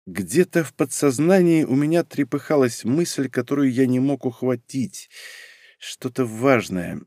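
A man narrating an audiobook in the first person, in a measured, wry reading. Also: medium pitch, animated, a little mellow, no echo, studio quality.

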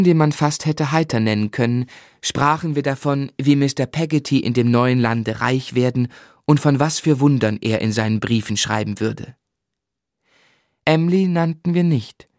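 An actor playing a gentle, melancholy gentleman who narrates his life in a literary, lyrical radio play style. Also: medium pitch, moderate pace, no room sound, studio quality, lightly acted. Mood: fond, reflective. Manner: lyrical, reflective.

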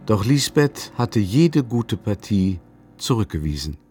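A man's voice: on the low side, big pitch swings, unhurried, a little mellow. A man narrating a literary radio drama as a scripted storyteller.

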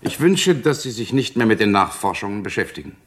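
An actor in his early fifties playing a gruff, insistent police inspector in vintage German crime drama. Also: lowish voice, very animated, fairly quick, slight background noise. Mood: firm, brusque. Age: early 50s. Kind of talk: 1960s-70s German crime radio and TV drama acting.

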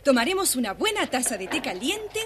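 High-voiced, kindly storybook mother. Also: sing-song, a little fast. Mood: caring, instructing. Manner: caring, gently commanding. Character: kind, hospitable widowed mother of two girls. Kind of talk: dramatized children's storybook record. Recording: faint noise, old children's story record.

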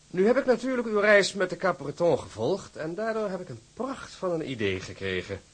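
An actor playing a formal, eager, scheming company director in a radio drama, with pompous, flowery courtesy. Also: male voice, high voice, sing-song, fairly quick, breathy edge, exaggerated voice. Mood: courteous, eager.

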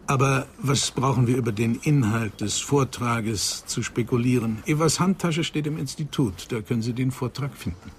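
An earnest old psychoanalyst played by a male actor in a radio crime drama. He speaks with reflective, measured emphasis at a medium pitch, with noticeable background noise around him. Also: lightly acted. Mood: emphatic, grave. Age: older. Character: earnest elderly psychoanalyst of nearly eighty.

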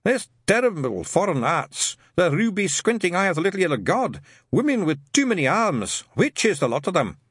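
A man voicing a gruff, paranoid old witchfinder sergeant, barking and conspiratorial. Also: sits high, sing-song, normal speed, fairly bright tone, no room sound, studio quality, pitched above the performer's own voice, full character voice. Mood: barking, suspicious. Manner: barking, conspiratorial, bigoted grumbling. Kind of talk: audiobook character voice.

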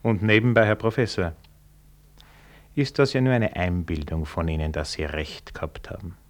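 An actor playing a worldly, genially cynical senior civil servant with an Austrian accent and a dry, ironic manner, in a radio play. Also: male voice, deep voice, steady tone, normal speed, lightly acted. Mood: dryly amused. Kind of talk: German radio play acting.

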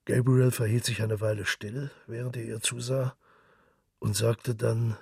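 A man reading literary narration for a radio drama in a hushed, intimate, gravelly and breathy voice. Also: medium pitch, flat delivery, a little slow, no echo, studio quality, far from the mic.

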